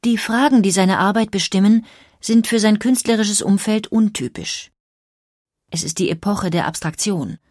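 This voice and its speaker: Female documentary narrator: slightly low pitch, precise literary phrasing, dry studio-like sound. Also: darkish tone, no room sound.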